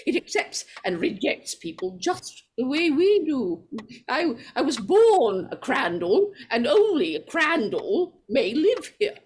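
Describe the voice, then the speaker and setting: A woman playing a strange, fretful and possessive character, halting and pleading in melodramatic 1940s radio horror style. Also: sits high, big pitch swings, exaggerated voice. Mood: ominous pleading.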